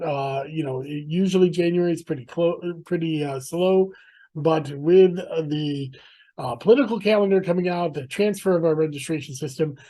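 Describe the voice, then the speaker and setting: Halting man hosting a video-call interview. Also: on the high side, dark tone, rough recording. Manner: halting, many filler sounds. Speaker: podcast host and elections commissioner.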